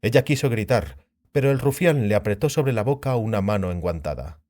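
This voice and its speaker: A man's voice: on the low side, lively intonation, a little fast, darkish tone. A man narrating a fantasy audiobook in a scripted, dramatic storytelling style.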